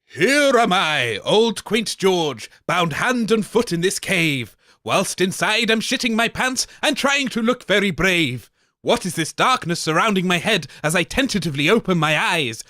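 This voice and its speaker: A man's voice: high voice, bright, forceful tone, dry recording, clean studio sound. A man co-hosting a comedy radio show, telling a tale in a hammy, grandiose way. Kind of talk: comic hammy storytelling.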